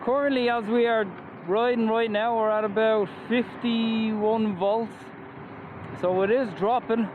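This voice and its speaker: A man's voice: high-pitched, steady tone, a little slow, phone-quality sound. A man with an Irish accent chatting enthusiastically while riding an electric scooter outdoors, wearing a helmet and mask. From Ireland. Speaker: scooter reviewer. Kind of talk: casual ride-along vlog commentary. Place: outdoors on a moving scooter by roads. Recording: outdoor road noise. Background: traffic and wind.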